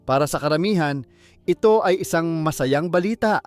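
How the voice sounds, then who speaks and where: Male audiobook narrator, slightly high pitch, dramatic scripted delivery, faint music underneath. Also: expressive, moderate pace.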